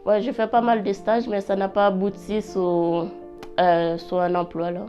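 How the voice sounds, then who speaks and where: A woman for whom French is a second language speaks in a calm, plainspoken way. Her voice is warm and mellow, nearly flat in tone. The recording sounds dull and lo-fi, with faint music behind her. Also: medium pitch, a little slow. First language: not French. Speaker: adult literacy learner. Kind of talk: personal testimony.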